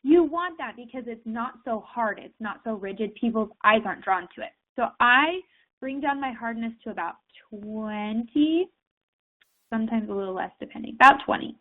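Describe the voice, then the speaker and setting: A woman's voice: a little high, animated, moderate pace. A woman with a slightly raised voice talks her viewers through a tutorial in a loose, chatty way, full of fillers. She is fighting a cold and sniffles now and then. The sound is thin and tinny, like a phone line. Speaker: tutorial host. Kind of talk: casual screen-share tutorial.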